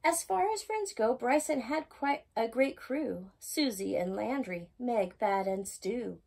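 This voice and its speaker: Higher-voiced woman reading a children's story. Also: lively intonation, unhurried, vocal fry, darkish tone, breathy edge. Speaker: storytime host reading a children's picture book. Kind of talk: read-aloud children's storytelling in rhyme.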